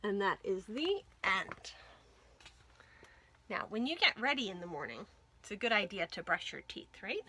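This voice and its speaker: A woman hosting a children's storytime, reading a picture book aloud with clear phrasing and emphasis for young listeners. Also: mid-range voice, animated, normal speed, long pauses, bright, forceful tone. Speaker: children's librarian, storytime host.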